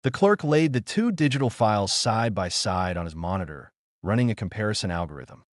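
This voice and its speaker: A man's voice: on the low side, lively intonation, fairly quick, no echo, studio quality. A man reading a scripted noir audiobook as a dramatic narrator.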